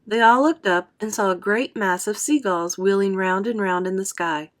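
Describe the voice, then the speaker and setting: A woman reading a children's story aloud as an expressive narrator. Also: mid-range voice, moderate pace.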